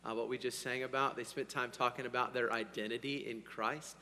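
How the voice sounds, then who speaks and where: A man preaching a sermon to his congregation, hoarse, energetic, swinging between loud bursts and quiet asides and checking in with "all right?". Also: mid-range voice, brisk, slight background noise. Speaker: pastor. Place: church sanctuary. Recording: long hall reverb.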